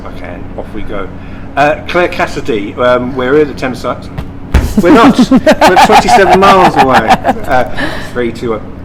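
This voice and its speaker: A man's voice: on the high side, big pitch swings, moderate pace. A man working as an interviewer, asking questions in a casual, conversational style. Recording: clear background noise.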